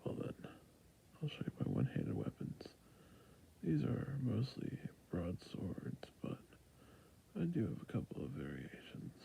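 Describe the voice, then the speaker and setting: A man acts out a humble, obliging fantasy shopkeeper in an ASMR roleplay. His voice is very dark and mellow, thick with creak, and often slips into a whisper. He talks customers through his wares softly and soothingly. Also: low voice, heavily acted, rough recording. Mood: patient, attentive. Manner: soft-spoken, half-whispered, soothing shop patter. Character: friendly humble male fantasy shopkeeper, obliging merchant and fletcher.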